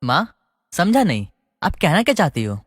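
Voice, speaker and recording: A man's voice: on the high side, sing-song, moderate pace, no room sound, studio quality, in a large hall. A man voicing an eager, bashful young newlywed husband in a family story. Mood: cheerful.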